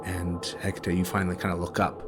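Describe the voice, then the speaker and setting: A man acting as game master, improvising horror tabletop narration in a halting, casual way full of filler words. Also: sits low, completely flat delivery, background noise. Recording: music behind the voice. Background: background music.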